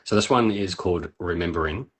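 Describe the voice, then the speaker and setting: Deep-voiced man, measured and appreciative. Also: breathy edge. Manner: measured, appreciative, pauses between phrases. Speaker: poetry reading co-host. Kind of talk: casual commentary and poetry reading.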